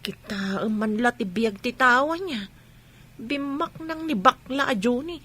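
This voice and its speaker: High sharp gossipy neighbour lady actress, envious and nosy, piercing. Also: lively intonation, a little slow, slightly rough, heavily acted. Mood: nosy, scornful.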